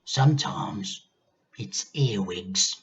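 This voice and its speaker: A man voicing a nasty, gleefully menacing old grandmother in a storybook, with drawn-out, gloating delivery. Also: lowish voice, lively intonation, a bit bright, muffled recording, exaggerated voice. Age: older. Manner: drawn-out, gloating, sometimes throaty whispering.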